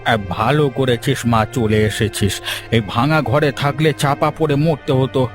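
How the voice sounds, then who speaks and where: Cartoon village patriarch, older, hospitable and kindly male actor, medium pitch over clear music. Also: fairly even intonation, unhurried, lightly acted. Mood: kindly, concerned. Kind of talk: Bengali moral-story cartoon dubbing.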